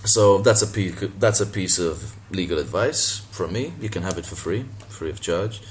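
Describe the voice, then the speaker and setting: A man from Malta, a native Maltese speaker, with a deep voice, talking casually and sardonically in a response video, laughing and swearing as he goes. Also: normal speed, slight background noise. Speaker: boxing channel vlogger.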